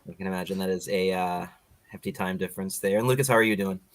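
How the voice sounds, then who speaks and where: Low-voiced American man hosting a show. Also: fast talker. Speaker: show host and interviewer.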